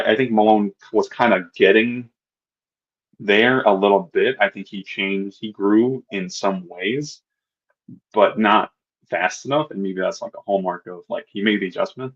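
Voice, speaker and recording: A man's voice: on the low side, moderate pace, husky, muffled recording. A man co-hosting a podcast, thinking aloud with hesitant restarts. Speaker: podcast co-host. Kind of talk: casual sports chat.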